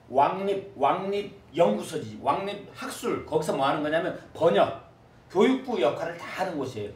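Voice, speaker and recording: A high-voiced man lectures with a lot of swing in his pitch, and keeps checking whether his students follow. The recording catches him from across an echoey classroom, with a faint hum of room noise underneath. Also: far from the mic. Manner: lively, repeats words for students to echo. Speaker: teacher.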